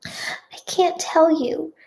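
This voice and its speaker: Woman acting a soft, high-voiced anxious wife. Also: fairly even intonation, unhurried, rough recording. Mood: tearful, reluctant. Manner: soft, fragile, murmuring. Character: tearful, anxious young wife seeking comfort.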